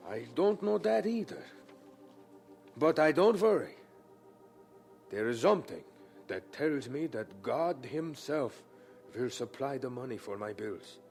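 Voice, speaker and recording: Young german student in an old radio play, really earnest n pious, slow talker, very animated pitch. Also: male voice, vocal fry, faint music behind. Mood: earnest conviction. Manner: earnest, deliberate, fervent. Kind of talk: radio drama acting.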